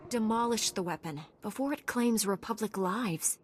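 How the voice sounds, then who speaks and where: A woman's voice: on the high side, moderate pace, a little hiss or hum, close to an everyday voice. An actress playing a composed, dutiful and resolute female knight in a video game, with a formal, assured delivery.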